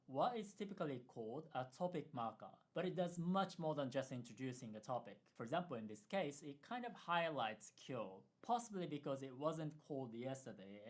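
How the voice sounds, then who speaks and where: A man teaching language in a scripted video lesson, explaining clearly in an upbeat way with playful asides. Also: medium pitch.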